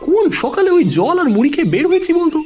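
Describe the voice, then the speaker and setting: A man playing a naive, excitable young village henchman in an audio horror story, with a whiny, animated delivery. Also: very high-pitched, quick pace, soft background music. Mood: hungry, eager.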